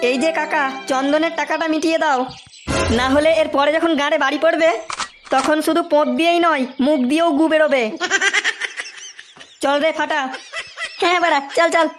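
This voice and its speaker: A woman's voice: sits high, steady tone, normal speed, pauses often, music in the background, lightly acted. A woman voicing a bossy, foul-mouthed young village club lad in a cartoon, with a blunt manner. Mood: threatening.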